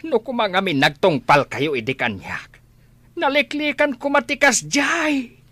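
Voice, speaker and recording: A woman's voice: on the high side, big pitch swings, measured pace, fairly bright tone, no room sound, exaggerated voice. An actress playing a bitter, reproachful middle-aged mother with a Filipino accent, in radio comedy-drama style.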